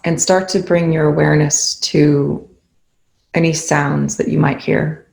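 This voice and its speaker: A meditation instructor leading a guided session in a soothing, gentle, unhurried way with long pauses. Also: medium pitch, flat delivery, creaky, reverberant.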